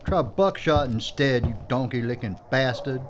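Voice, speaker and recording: A man plays a rough-edged frontier lawman who cracks dry jokes. His voice has a middle pitch and a gruff drawl. The recording is muffled and lo-fi, buried in loud hiss. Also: lively intonation, moderate pace, lightly acted. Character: gruff, wisecracking male frontier deputy. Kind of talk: Western audio drama acting.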